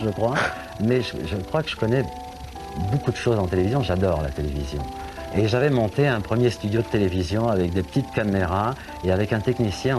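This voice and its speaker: Deep-voiced man rambling through stories. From France. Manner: rambling, anecdotal, with many hesitations. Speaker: singer guest on a TV show. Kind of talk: chatty interview talk.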